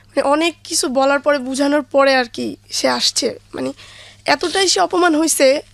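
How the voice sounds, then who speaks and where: Young bangladeshi woman, pretty high voice, chatty explaining, stops and starts a bit, no room echo. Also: moderate pace, gravelly. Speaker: guest, daughter of the main guest. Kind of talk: informal explaining in an interview.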